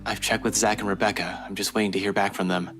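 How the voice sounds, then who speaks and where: A weary young man played by a woman, guarded and casual, speaks very low in a visual novel. Soft music plays faintly behind the voice. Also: flat delivery, fast talker. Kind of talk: acted visual novel dialogue.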